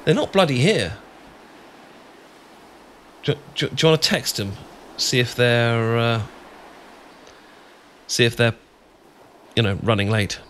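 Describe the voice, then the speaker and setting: A man's voice: medium pitch, takes it slowly, long pauses, fairly bright tone, a little hiss or hum. A man with a British accent acting an awkward, easily flustered, bashful friend in a romantic ASMR audio roleplay, stammering and rambling as he goes. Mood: awkward, musing.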